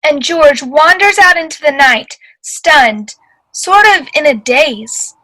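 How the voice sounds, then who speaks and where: Solemn storyteller for a Christmas radio drama, female actor: high, bright, measured delivery. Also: heavily acted, low-quality recording. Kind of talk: scripted narration in old-time radio play.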